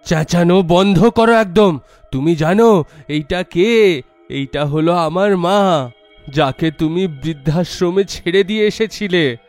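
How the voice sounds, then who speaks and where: A man voicing a devoted adult son in a story, forceful and emotional. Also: high-pitched, slow pace, soft background music. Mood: angry.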